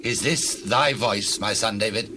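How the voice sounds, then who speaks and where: Bright, forceful and fairly low, this is an older monarch in a Bible audio drama. He is grave, fatherly and heavy with remorse, declaiming over a noisy outdoor backdrop. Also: unhurried. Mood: wondering. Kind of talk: dramatized scripture audio acting.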